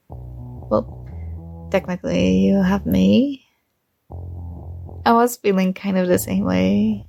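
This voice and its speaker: Woman acting a shy college girl with a crush, slow unhurried delivery, soft and hesitant, slight vocal fry, intimate ASMR roleplay, music behind. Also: medium pitch. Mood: tender, shy.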